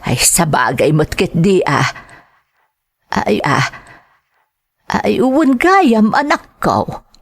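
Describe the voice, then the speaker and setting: A woman with an Ilocano accent playing a caring, gentle older mother in an audio drama, drawing out her words. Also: medium pitch, huge pitch swings, slow pace, creaky, pauses often, slightly rough, full character voice. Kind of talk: Ilocano radio-style drama acting.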